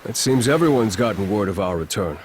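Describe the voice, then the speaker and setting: An actor playing a courteous, dutiful war chief in a video game, speaking in a composed and formal manner. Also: male voice, fairly low pitch, animated, darkish tone, a little hiss or hum, lightly acted. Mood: calm, dutiful. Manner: formal, measured wording. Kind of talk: video game cutscene acting.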